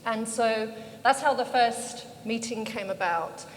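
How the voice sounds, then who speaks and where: A woman's voice: fairly high pitch, unhurried. A middle-aged British woman speaking on a panel, telling her story in a conversational way. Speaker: panel speaker, founder of an organization. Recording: echoey hall, background noise.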